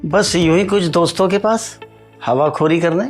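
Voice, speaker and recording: A man's voice: high-pitched, normal speed, soft background music. A man playing a meek, sad elderly father-in-law in a Hindi drama, hesitant and repeating his words. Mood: submissive. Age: older.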